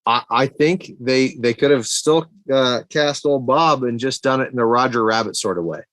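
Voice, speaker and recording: A man's voice: medium pitch, normal speed, a bit bright, no room sound. A man co-hosting a podcast, chatting casually about movies in a laid-back, slangy way. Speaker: podcast co-host.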